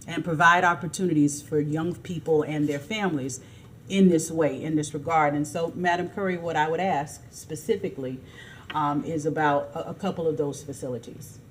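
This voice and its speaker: A woman commissioner speaking at a city meeting, asking earnest, measured questions. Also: low voice, a little hiss or hum. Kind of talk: public meeting remarks. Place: city council chamber.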